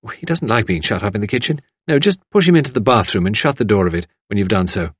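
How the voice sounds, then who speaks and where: A man voicing a brisk, kindly, commanding mistress of the house, reassuring in manner, as an audiobook character. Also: fairly low pitch, animated, breathy edge, no room sound, studio quality.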